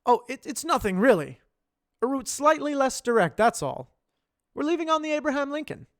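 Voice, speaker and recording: Guy doing an excited loud scientist, high and pushy, gravelly, dry close mic. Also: normal speed, no room sound, exaggerated voice. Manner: urgent, animated, rising pitch.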